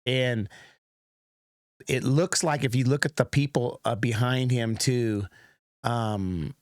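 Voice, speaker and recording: A man's voice: medium pitch, no room sound, clean studio sound. A middle-aged American man giving casual, rambling commentary as host of a reaction video, with many fillers and restarts. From United States.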